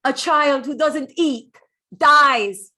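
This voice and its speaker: A woman's voice: high-pitched, extremely slow, bright, forceful tone, full character voice. A woman voicing a self-assured older male painter and lover, emphatically, within a theatrical monologue. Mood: admonishing.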